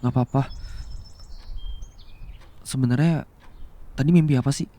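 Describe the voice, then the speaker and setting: A man's voice: medium pitch, fairly even intonation, a little slow, lightly acted. A young man playing a flustered, devoted younger boyfriend in a romantic audio roleplay, hesitant and tender, over music. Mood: flustered, gentle. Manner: hesitant, stammering, soft and tender. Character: flustered, devoted young man in love with his older female boss. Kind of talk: romantic ASMR roleplay acting. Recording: music behind the voice.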